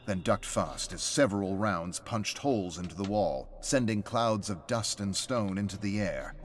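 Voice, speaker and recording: A man's voice: sits low, a little hiss or hum. A man reading scripted story narration as the narrator of a game's lore. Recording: faint music under the narration. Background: music.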